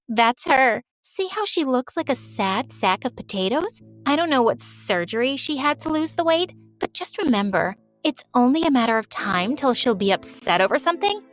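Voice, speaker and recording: A woman's voice: high-pitched, fairly bright tone. A woman doing a sneering mean teen girl. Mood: mocking. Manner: sneering, taunting. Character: cruel mocking teenage girl, spiteful.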